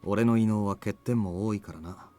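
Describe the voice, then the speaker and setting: A man playing a calm, cocky, confident fighter in a visual novel game. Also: lowish voice, close to an everyday voice. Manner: calm, cocky, unhurried by danger. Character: cool, confident tall male fighter ally. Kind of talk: Japanese visual novel voice acting. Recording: music under the voice.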